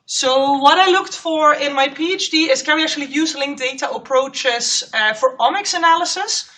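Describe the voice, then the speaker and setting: A woman postdoc researcher giving a chatty conference talk in a lecture room, with a loud voice and a clip-on microphone. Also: high-pitched, recorded from a distance. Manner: loud, chatty, self-aware.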